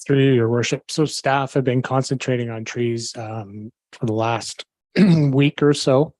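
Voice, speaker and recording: A public works official answers council in a measured, bureaucratic tone with frequent ums. His voice stays very flat in pitch. The recording is dry and close. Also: no room sound. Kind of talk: staff answer at council meeting. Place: council chamber.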